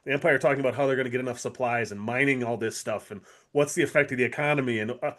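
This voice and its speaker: Man with a mid-pitched voice, chatting eagerly. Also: steady tone, rapid-fire, a bit bright. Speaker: podcast co-host. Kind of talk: casual fan chat about TV.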